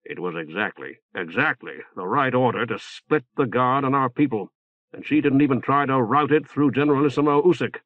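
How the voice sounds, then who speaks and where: A man's voice: medium pitch, fairly quick, dry recording, narrow, tinny audio, natural voice. Earnest military colonel acted by a male narrator, tense, reporting, audiobook novel.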